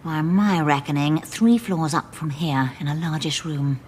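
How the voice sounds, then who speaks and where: Casting: a self-assured, bossy infiltrator with a deep register, brisk delivery, radio drama. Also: female voice, darkish tone, slightly rough. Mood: commanding. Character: brisk, bossy, confident woman tech-savvy infiltrator. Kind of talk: audio drama acting.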